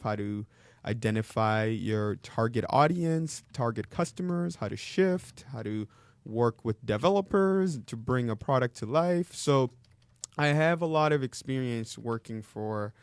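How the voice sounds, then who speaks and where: A man in his thirties from the Democratic Republic of Congo, a guest speaking in a measured way with frequent ums. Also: mid-range voice, smooth, no echo. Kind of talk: interview conversation.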